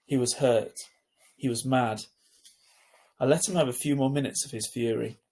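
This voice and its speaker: Husky male storybook narrator. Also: mid-range voice, steady tone, moderate pace, mellow. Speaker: teacher reading a story aloud.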